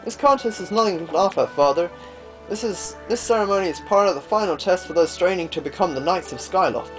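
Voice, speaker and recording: A man's voice: sits high, brisk, voice pushed up, close to an everyday voice, poor audio. A man voicing a worried, bossy but caring teenage girl from a video game, earnest and fretful. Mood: worried, indignant. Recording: game music behind.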